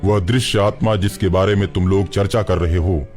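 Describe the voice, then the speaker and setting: Solemn benevolent ghost played by a male narrator, low pitch, mellow dark tone, earnest, cartoon horror tale, music behind. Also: steady tone, normal speed, lightly acted. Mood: solemn, sincere. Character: kind ghost of a village boy, solemn protector. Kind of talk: Hindi cartoon story character voice.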